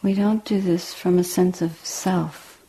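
A woman meditation teacher leading a guided meditation in a breathy, hushed voice, with deliberate short phrases and long pauses. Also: lowish voice, steady tone, low-quality recording. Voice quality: husky, breathy, often near whisper.

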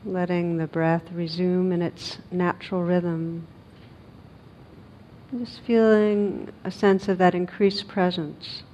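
A woman meditation teacher leading a guided meditation in a gentle, unhurried manner with long pauses, on a recording with some noise. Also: fairly even intonation. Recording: dull recording with noise and rumble.

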